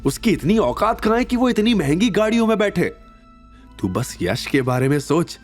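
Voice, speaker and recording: A male narrator puts on a middle-aged mother for an audio drama. She is dismissive and greedy, scolding in a raised, animated pitch. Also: moderate pace, faint music behind. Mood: scornful. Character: scornful, money-minded middle-aged mother.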